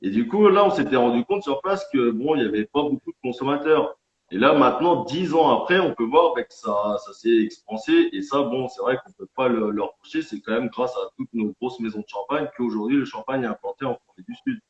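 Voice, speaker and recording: A man who is an independent champagne winegrower, guesting in a live-streamed interview and explaining things in an earnest, somewhat halting way. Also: mid-range voice, animated. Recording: echoey, dull lo-fi sound.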